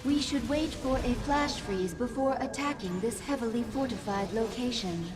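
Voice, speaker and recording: An actress playing a calm, dutiful alien adviser to a queen in a video game, measured and deferential. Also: a little high, close to an everyday voice. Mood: calm, warning. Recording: game music behind.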